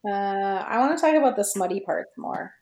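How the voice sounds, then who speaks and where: A woman's voice: medium pitch, animated, moderate pace, a little mellow. Woman in an echoey room, chatting informally. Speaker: podcast guest, fan fiction writer. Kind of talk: casual discussion. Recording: roomy, echoey sound.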